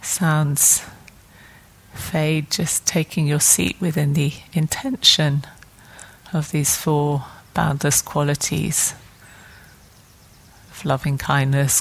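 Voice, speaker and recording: A woman meditation teacher leading a guided meditation calmly and gently, in short phrases with long pauses between them. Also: deep voice, creaky, rough recording.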